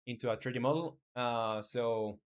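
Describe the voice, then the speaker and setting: Guy streaming his game dev, average pitch, casual and kinda hesitant, chatting with viewers. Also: normal speed, clean tone. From Chile. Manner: informal, hesitant, reads viewer messages aloud and replies. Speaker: game developer live streamer. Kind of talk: casual livestream chat.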